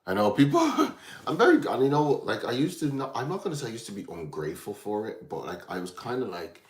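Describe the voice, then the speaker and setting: A man's voice: medium pitch, sing-song, a little fast. An Irish man from Dublin hosting a casual livestream, rambling and distracted as he chats with viewers. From Ireland, from Dublin. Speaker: livestream host.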